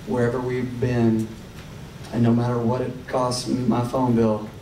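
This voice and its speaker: Tennessee preacher in an echoey hall. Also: male voice, medium pitch, little pitch movement, a little slow, warm tone. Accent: Southern US, Tennessee. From Tennessee, USA. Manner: casual, joking, drawn out, rising to fervent. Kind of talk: live evangelistic sermon. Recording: echoey hall, distant mic, room noise.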